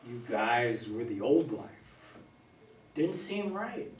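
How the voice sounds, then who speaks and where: A man acting a grieving, bitter widower and recovering drinker in a staged play reading, halting and terse. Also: medium pitch, big pitch swings. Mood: bitter, curt. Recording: roomy, distant mic, faint noise.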